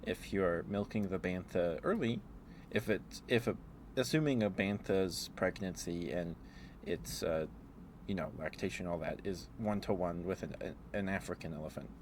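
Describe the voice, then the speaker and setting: Really deep voiced guy doing rambly asmr stream chat, halting and thinking out loud, bit of background noise. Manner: halting, musing, thinking aloud. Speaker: livestreamer.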